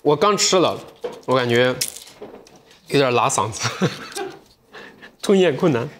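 A man's voice: mid-range voice, expressive, takes it slowly, a little hiss or hum. A man in his thirties hosting a livestream sale from a studio set. He chats with viewers in a playful, self-mocking way and sometimes drifts into reflective asides. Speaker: livestream sales host.

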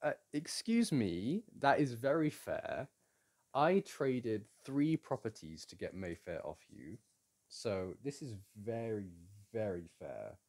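A man playing a playful boyfriend in an intimate audio roleplay, chatting casually, teasing and coaxing the listener in a gentle, halting way. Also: medium pitch, big pitch swings, full character voice. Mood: gleeful. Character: playful, teasing, soothing boyfriend at a board game.

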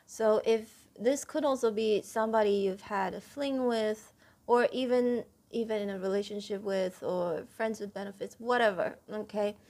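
A woman giving a casual tarot reading at a table, talking straight to her viewers, a little stuffy and clearing her throat, often checking in with "okay?". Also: medium pitch, moderate pace, darkish tone. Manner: chatty, repeats "okay?" to viewers, stresses key words. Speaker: tarot reader. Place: indoors at a table, home room.

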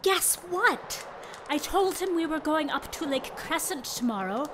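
An actress playing a bubbly, naive, friendly young camper in a radio drama, eager and chatty. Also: female voice, high voice, lively intonation, measured pace, background noise.

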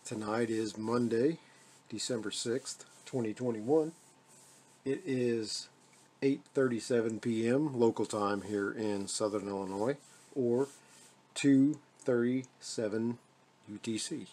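American man, soft-edged mellow tone, mid pitch, casual hobbyist demo, unscripted, halting phrasing. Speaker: radio hobbyist demonstrating a receiver. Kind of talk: casual hands-on video demonstration. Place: indoors at a radio desk.

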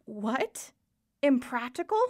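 A defiant young heroine of ancient Greece, acted by a woman. The voice is fairly high, earnest and passionate, with formal audio drama delivery. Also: big pitch swings, slow, darkish tone, full character voice.

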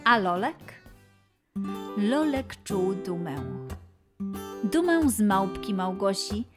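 A woman narrating a scripted children's fairy tale in an expressive, measured way. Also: mid-range voice, over music. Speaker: storyteller.

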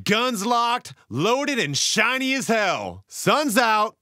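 A man's voice: high voice, sing-song, takes it slowly, pushed and bright, dry recording, clean studio sound, full character voice. A man voicing a cocky, showboating soldier, firing off brash, swaggering taunts for a shooter video game.

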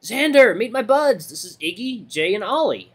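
Man voicing an upbeat, high-pitched brash animal fighter. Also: sing-song, normal speed, breathy edge, full character voice. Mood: excited, loud. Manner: boisterous, slangy, upbeat. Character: Redline, big-smiling brash friendly male animal fighter.

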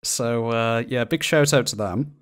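A man's voice: medium pitch, unhurried. Guy gaming with mates, dry banter, mock serious, bit of background noise. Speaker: co-op video game player. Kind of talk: casual gaming chat.

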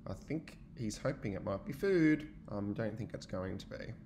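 A man giving an expressive, child-directed storybook read-aloud, like a teacher, with chatty asides. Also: on the low side, normal speed, a little hiss or hum.

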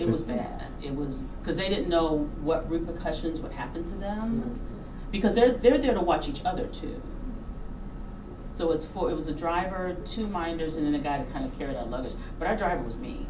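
A middle-aged woman telling anecdotes casually on stage into a handheld microphone, animated and informal, acting out reactions. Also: sits low. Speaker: documentary filmmaker, guest speaker. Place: auditorium stage before an audience. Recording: roomy, distant hall sound with background noise.

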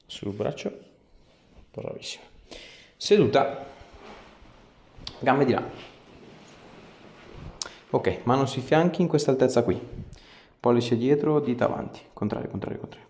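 A man, a chiropractor giving a patient short, gentle, repeated instructions. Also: medium pitch, animated, moderate pace, long pauses. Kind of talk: instructing a patient during treatment. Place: small indoor treatment room. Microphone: camera a few steps away. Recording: roomy echo, camera across small room.

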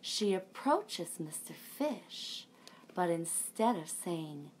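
A woman in her thirties, a children's librarian, reading a picture book and leading a sing-along for young children in a lively storytime manner. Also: on the low side, slow pace, slight background noise, rough recording. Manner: bright, animated storytime voice. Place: home room with a bookshelf backdrop.

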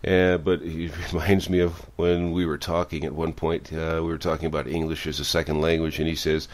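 A man's voice: rumbling low, level tone, fairly quick. A man hosting a radio interview show, introducing his guest in an upbeat way.

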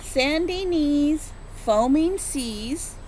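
A woman in her forties, a preschool teacher, reads a rhyming picture book aloud to children and stops to ask them gentle questions. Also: high-pitched, expressive, slight background noise. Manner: slow rhythmic rhyme reading with gentle questions to children. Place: outdoors on a front porch. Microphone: phone or laptop camera at close range.

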